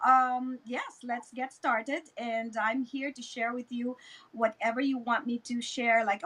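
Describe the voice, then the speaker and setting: A woman's voice: a little high, fairly bright tone, rough recording. A middle-aged woman from the Philippines with a Filipino accent, telling her personal story as an interview guest and repeating words for emphasis. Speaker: interview guest, network marketing business leader. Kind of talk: personal testimonial in an online interview.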